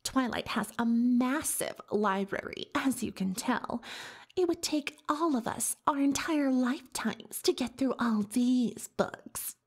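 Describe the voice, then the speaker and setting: A woman voicing a boastful, dramatic young female unicorn magician in soft-spoken, often whispered roleplay ASMR, theatrical and self-important. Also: fairly high pitch, slow, husky, exaggerated voice. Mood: dramatic storytelling. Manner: theatrical, self-important, speaks of herself in third person.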